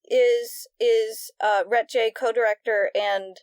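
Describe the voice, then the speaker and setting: A woman's voice: on the high side, fairly even intonation, takes it slowly, darkish tone, clean tone, no room sound, studio quality. A woman co-hosting a fan podcast, chatting casually and often repeating words as she starts a thought. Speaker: podcast co-host.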